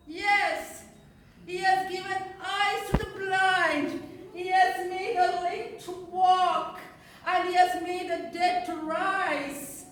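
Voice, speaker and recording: A woman performing a lonely, repentant outcast woman in an emotional, theatrical monologue with emphatic pauses. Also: very high-pitched, exaggerated voice. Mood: hopeful. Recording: echoey room, distant mic, faint noise.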